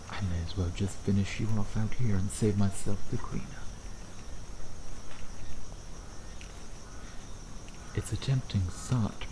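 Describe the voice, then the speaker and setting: A man playing a sardonic, teasing vampire in an intimate ASMR roleplay, with a low, gravelly voice and an unhurried, predatory manner. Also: background noise, natural voice. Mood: dry, taunting. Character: teasing, sardonic male vampire, a century old, youthful predator.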